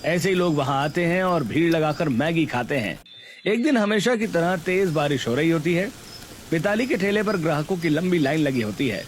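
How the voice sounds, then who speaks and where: A man's voice: fairly high pitch, animated, moderate pace, a little hiss or hum. A man reading scripted narration for a Hindi cartoon story.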